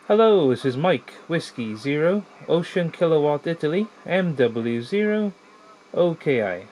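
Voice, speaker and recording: A man talking casually and in a measured, easygoing way as an amateur radio operator. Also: fairly high pitch, sing-song, darkish tone, low-quality recording. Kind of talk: casual ham radio chat with call signs. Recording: faint radio hiss in the room.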